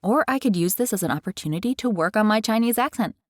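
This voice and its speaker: A woman's voice: mid-range voice, lively intonation, quick pace, no room sound, clean studio sound. An American woman hosting a chatty, curious tutorial from her bedroom. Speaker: tutorial host. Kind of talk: casual explainer. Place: carpeted bedroom. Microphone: headset mic.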